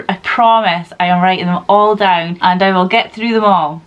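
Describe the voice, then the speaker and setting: A woman's voice: mid-range voice, fairly bright tone. A young woman who is congested from a cold, chatting casually and giggling while she reacts to a movie at home. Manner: chatty and giggly, repeats words. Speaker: reaction channel host.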